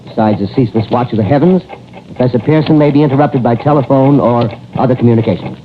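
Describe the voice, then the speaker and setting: An actor playing a courteous, eager male radio news commentator, speaking formally and a little haltingly in a 1930s radio drama. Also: medium pitch, close to an everyday voice. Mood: formal, inquiring. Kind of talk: 1930s radio drama live-broadcast reporting. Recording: scratchy, tinny 1930s radio recording.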